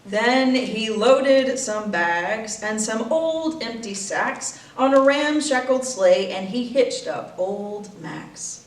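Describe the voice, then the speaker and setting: Woman reading a kids story real slow n playful, kinda high voice, roomy echo. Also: lively intonation. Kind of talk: expressive children's storybook reading. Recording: echoey room, distant mic.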